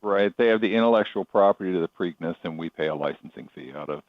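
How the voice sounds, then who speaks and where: Low-voiced man, slightly dull roomy sound. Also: fast. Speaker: chairman of a racetrack authority, interview guest. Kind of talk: radio interview answers.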